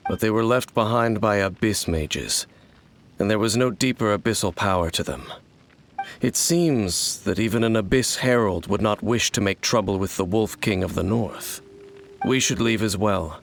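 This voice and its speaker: An actor playing an aloof, world-weary wanderer in a video game, speaking in a measured, formal, solemn manner. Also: male voice, fairly low pitch, slight background noise. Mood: stern.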